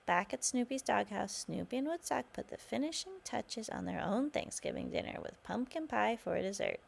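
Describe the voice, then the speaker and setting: A woman's voice: on the high side, sing-song, normal speed, vocal fry, a little hiss or hum. A woman reading a children's storybook aloud as scripted narration.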